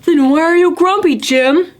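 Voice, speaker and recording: A woman's voice: very high-pitched, normal speed, pitched above the performer's own voice. A woman voicing cheerful, pushy animal friends from a children's storybook, urging in an exaggerated sing-song way. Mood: puzzled, bright. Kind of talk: children's storybook read-aloud.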